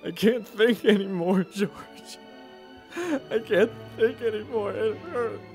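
A muddled, forgetful old uncle voiced by a male actor in a radio play. He speaks slowly and haltingly in a slightly high, fretful voice, with faint music in the recording. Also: expressive, exaggerated voice. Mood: despairing. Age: older. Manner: halting, fretful, repeating himself. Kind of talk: radio drama acting.

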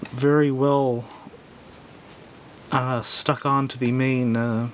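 Male reviewer, medium pitch, casual and unscripted, halting and self-correcting, home recording with slight rumbling noise. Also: little pitch movement. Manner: hesitant, rambling, self-correcting. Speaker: product reviewer. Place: indoors at a wooden table.

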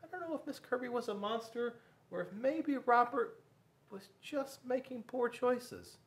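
A middle-aged man reading a children's story aloud in a classroom, expressively, with dramatic pauses and questions to young listeners. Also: very high-pitched. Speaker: school resource officer reading to children. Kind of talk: animated storybook read-aloud. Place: small school classroom, indoors.

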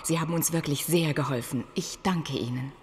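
A woman's voice: deep voice, fairly quick, in a large hall, a little hiss or hum, lightly acted. An actress playing a gracious, polite newlywed woman in a radio play, courteous in manner. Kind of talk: German radio play acting.

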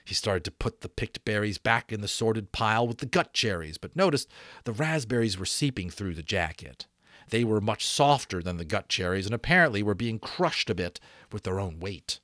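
A man in his thirties reading a novel aloud as dramatic narration, with deliberate pauses and stressed repeats, close to a microphone. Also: fairly low pitch, lively intonation, fairly bright tone, dry recording. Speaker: audiobook reader. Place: small room at home. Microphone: desk microphone close in front.

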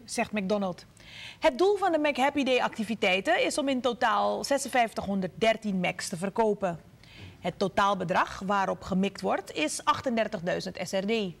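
A woman from Suriname reading the news in a measured, formal way from a studio. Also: fairly high pitch, vocal fry. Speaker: news anchor. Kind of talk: scripted news narration.

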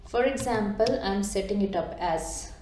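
A woman, working as a digital transformation coach, calmly explaining software settings step by step with frequent ums and uhs. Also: medium pitch, a little slow, background noise. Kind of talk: screen-recorded software tutorial. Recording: echoey room.

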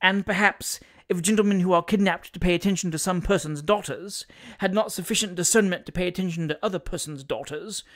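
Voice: mid-range voice, fairly quick, lightly acted. A narrator voicing a spiteful rival matron with a snide, pointed way of talking. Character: spiteful tittering mother, slyly insulting rival matron.

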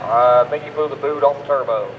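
A man's voice: medium pitch, a little fast, phone-quality sound, very noisy. Distant male announcer over roaring engines. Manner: reads distances twice, clipped and plain. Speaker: truck pull announcer. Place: outdoor fairground. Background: roaring truck engines.

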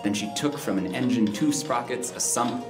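Expressive male storyteller over guitar music. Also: medium pitch, moderate pace. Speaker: narrator. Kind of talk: rhyming storybook narration, performed live. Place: live stage in a library room. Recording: echoey live room with music.

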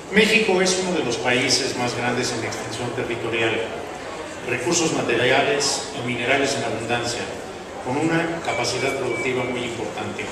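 A middle-aged man from Mexico giving a formal, ceremonious speech as a business leader. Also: medium pitch. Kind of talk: formal public speech at a podium. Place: large indoor exhibition tent with audience. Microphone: podium microphone, recorded from far in the crowd. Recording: echoey hall from far off, noisy.

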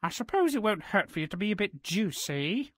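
A woman's voice: medium pitch, sing-song, a little fast, breathy edge, no room sound, studio quality. A woman voicing a haughty, cunning giant's wife, theatrical and scolding, in a cartoonish game. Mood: menacing. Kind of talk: cartoonish video game acting.

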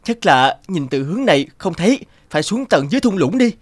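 An actor playing a greedy, excitable young peasant man in a fairy-tale cartoon, dramatic and given to exclaiming and pleading. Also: sits high, quick pace. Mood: insistent. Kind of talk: animated fairy-tale character acting.

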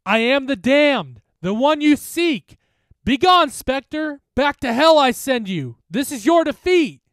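Man playing a shouting, defiant teenage boy. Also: very high voice, very animated, no room sound, clean studio sound, pitched above the performer's own voice, exaggerated voice. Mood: desperate, intense. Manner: slow, emphatic shouted incantation. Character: desperate, defiant teenage boy chanting a banishing spell.

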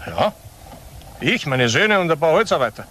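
An actor playing a defensive, gruff farmer in a German crime radio play, curt and indignant. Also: male voice, a little high, big pitch swings, moderate pace, sharp tone. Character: defensive, gruff male farmer, father of sons. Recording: noisy outdoor-scene drama ambience.

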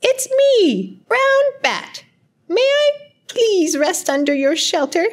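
High-pitched little storybook bat. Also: female voice, very animated, takes it slowly, exaggerated voice. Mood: polite, pleading. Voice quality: high, squeaky. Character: small, polite, chirping brown bat. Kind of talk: children's storybook reading.

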